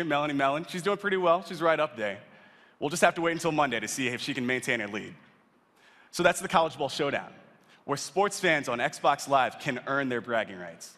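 Upbeat man pitching on a big stage. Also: a bit bright. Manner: upbeat, salesy, punchy list delivery. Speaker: keynote presenter. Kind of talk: scripted product keynote. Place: large convention hall stage. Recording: reverberant hall sound.

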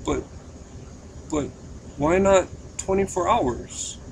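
A man streaming casually and making a phone call, speaking haltingly and often repeating his words. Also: high voice, gravelly. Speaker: live streamer, prank caller. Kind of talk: casual livestream chat and prank phone call. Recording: faint background noise.

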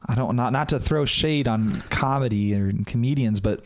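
Young male comedian guest from Indiana; hesitant, self-correcting delivery, mid-range pitch, close-miked studio. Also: normal speed. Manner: hesitant, restarts sentences. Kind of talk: casual chat. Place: small studio room, indoors. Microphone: studio mic with headphones.

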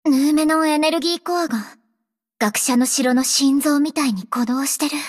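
Brave little half-elf girl game hero, anime style, super earnest, high voice, takes her time w the lines, music behind. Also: a bit bright, heavily acted. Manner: resolute, earnest, clearly projected delivery. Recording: studio voice over game music.

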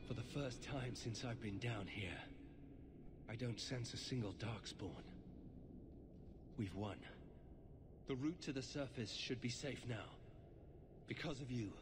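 A solemn fantasy game warrior with a low voice and slow, measured delivery. He is earnest and formal, with clear noisy game ambience behind him. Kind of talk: video game dialogue acting.

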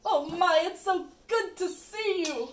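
A woman's voice: very high voice, slow pace. A young-sounding woman voicing scheming, bumbling comic men in an amateur puppet show, with a hesitant, exaggerated delivery. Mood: amazed, boisterous. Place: indoor room with puppet stage. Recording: echoey room, distant mic, faint noise.

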